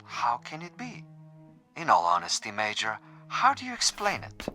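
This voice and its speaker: A man's voice: medium pitch, wildly swooping pitch, normal speed, sharp tone, faint music behind, exaggerated voice. An actor playing an urbane, sadistic assassin who taunts his prey in formal, precise, theatrical phrases in a suspense radio drama. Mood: smug, amused.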